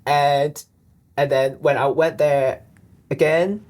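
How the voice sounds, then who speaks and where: A man from with a deep voice, a guest in an interview who explains things earnestly and often restarts his sentences. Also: fairly even intonation, slow, darkish tone. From Hong Kong. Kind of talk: conversational interview.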